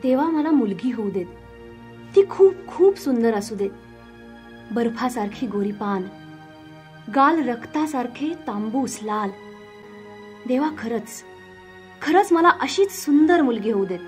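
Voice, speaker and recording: A woman playing a gentle fairy-tale queen, speaking in a yearning, prayerful way. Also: fairly high pitch, animated, unhurried, long pauses, faint music behind. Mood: wistful, hopeful. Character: gentle hopeful young queen praying for daughter.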